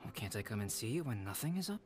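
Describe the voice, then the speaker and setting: A man voicing a polite, caring young man in a video game, calm and measured, with gentle formal phrasing. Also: on the low side, soft background music. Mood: calm, courteous.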